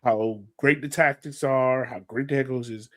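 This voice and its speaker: A man's voice: mid-range voice, fairly even intonation, normal speed, a bit bright. A man co-hosting a soccer podcast, breaking things down casually in colloquial language and repeating words for emphasis. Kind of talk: casual sports analysis.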